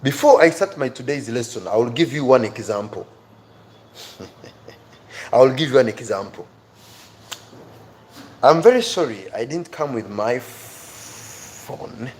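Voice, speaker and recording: A male teacher with an East African accent leads an informal live class. He speaks slowly at a medium pitch, addressing viewers directly. He repeats important words for emphasis. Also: lively intonation, a little mellow, a little hiss or hum. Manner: emphatic, repeats key words and phrases for effect. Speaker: English teacher hosting a live online class.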